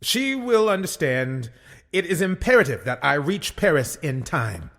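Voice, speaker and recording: Imperious, ambitious crown prosecutor. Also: male voice, fairly high pitch, very animated, a bit bright, heavily acted. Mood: urgent, determined. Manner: formal, imperious, deliberate. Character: ambitious, commanding crown prosecutor, scheming and self-important. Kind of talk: dramatic audio drama acting.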